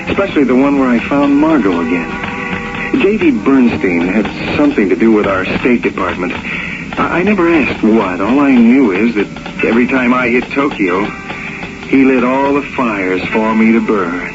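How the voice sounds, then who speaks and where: A man's voice: medium pitch, lively intonation, moderate pace, lightly acted. An actor playing a brash, lovestruck young surfer turned airman in a slangy, hesitant 1970s radio drama style. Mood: wry, reminiscing. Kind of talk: 1970s radio drama acting with first-person narration. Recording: dull vintage radio recording, loud music.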